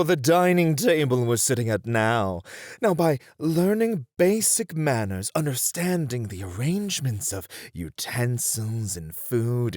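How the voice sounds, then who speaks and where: A man voicing a poised, courteous and patient etiquette teacher in an ASMR roleplay, speaking in a measured, formal, theatrical manner. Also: medium pitch, no room sound, clean studio sound. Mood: instructive.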